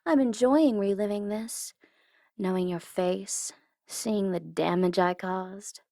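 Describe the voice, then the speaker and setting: A woman playing a teasing tsundere supervillain in an ASMR audio roleplay, condescending but easily flustered, sometimes slipping into soft whispers. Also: mid-range voice, slow pace, gravelly, no room sound, studio quality. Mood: gloating. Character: teasing, tsundere female supervillain flustered by her captive hero.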